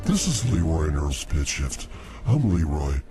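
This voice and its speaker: A man co-hosting a comedy show with casual, goofy banter, his voice shifted down in pitch. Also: sing-song, a little slow. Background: loud music.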